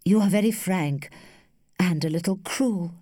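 A woman voicing an earnest young gentleman suitor in a storybook, formal and reproachful with boyish bitterness. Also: on the low side, measured pace, dry recording, studio quality. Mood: bitter.

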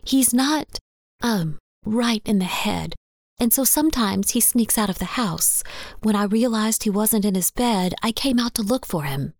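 A woman's voice: medium pitch, lively intonation, a little slow, dry recording, clean studio sound. A woman voicing a petite, defiant and evasive young woman in an audiobook story. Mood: indignant, evasive. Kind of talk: audiobook character dialogue.

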